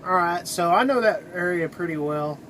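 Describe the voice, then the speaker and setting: A middle-aged American man, a truck driver, rambling casually in a halting, conversational way to his vlog. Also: high-pitched, a bit bright, slight background noise, rough recording.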